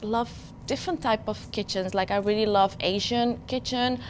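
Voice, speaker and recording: A woman from Afghanistan, a guest in a kitchen, talking in a friendly way with frequent pauses and fillers. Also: medium pitch, steady tone, slight background noise. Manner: friendly, hesitant with many fillers. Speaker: guest, marketing manager. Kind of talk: casual chat.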